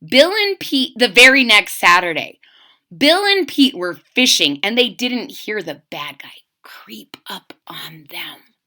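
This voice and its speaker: A woman reading a children's picture book aloud expressively, pausing to explain it simply and put questions to young listeners. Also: mid-range voice, sing-song, sharp tone.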